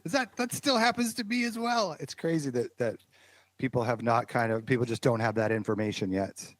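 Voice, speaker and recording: A man's voice: mid-range voice, lively intonation, brisk, no echo. A man guest hosting an interview, asking long questions in an encouraging way. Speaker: guest host. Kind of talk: casual interview chat.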